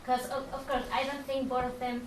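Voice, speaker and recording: A woman with a fairly high voice asks a question from the audience at a panel. She hesitates and rambles, restarting her sentences often. She sounds distant from the mic in an echoing hall with a faint hum behind her. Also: flat delivery, quick pace. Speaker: audience member asking a question. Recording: distant, roomy hall recording.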